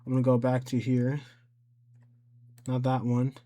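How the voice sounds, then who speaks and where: A man with a medium-pitched voice hosts a casual software tutorial. He explains things step by step in an informal, unscripted way, often thinking aloud as he works. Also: monotone, unhurried. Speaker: tutorial host.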